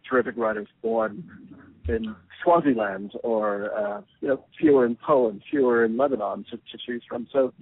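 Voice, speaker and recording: Gravelly man on a narrow phone-like line. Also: mid-range voice, no echo. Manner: lively, thoughtful, restarts phrases. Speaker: anthology editor. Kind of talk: radio interview answers.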